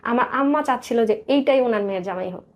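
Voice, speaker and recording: A woman's voice: on the high side, fast. A woman with a Bangladeshi accent recounting her life in an interview, earnest and detailed, often circling back over points. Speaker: guest telling life story. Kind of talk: personal storytelling in a radio interview.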